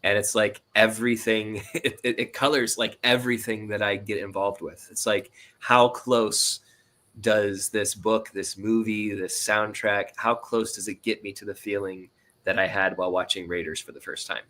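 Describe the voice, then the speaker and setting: A man's voice: lowish voice, fairly even intonation, moderate pace, pushed and bright. A man chatting casually as an interview guest, hesitantly searching for his words. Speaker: interview guest, indie writer and sound designer. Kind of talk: casual chat.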